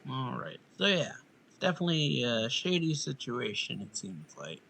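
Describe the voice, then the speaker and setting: A man's voice: medium pitch, sing-song, normal speed, gravelly, bright, forceful tone. A man streaming a video game, giving casual, musing commentary in short muttered reactions. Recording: noticeable background noise.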